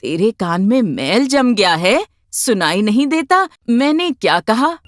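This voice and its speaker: An exasperated middle-aged mother-in-law in a cartoon moral tale, put on by a woman. She scolds and nags with a very lively, swinging intonation over music. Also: fairly high pitch, a little slow. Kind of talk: Hindi cartoon moral story dialogue.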